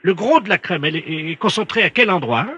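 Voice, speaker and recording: Man doing an officious scientist with swooping pitch. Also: expressive, fast talker, bright, forceful tone, breathy edge, no echo, dull audio, full character voice. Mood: probing. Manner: methodical, insistent questioning. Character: officious, absurd scientist directing a phone experiment. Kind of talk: prank phone call.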